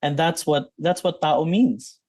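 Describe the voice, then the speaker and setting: A man's voice: fairly high pitch, unhurried, a little mellow, no echo. Man, Filipino accent, psychologist guest, explanatory, dry close recording. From Philippines. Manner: explanatory, mixes in Tagalog terms, many restarts. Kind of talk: thoughtful interview answers.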